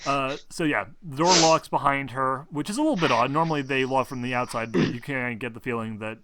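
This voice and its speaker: A man running a tabletop game as game master, improvising narration in a halting, explanatory way. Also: mid-range voice, fairly bright tone, low-quality recording.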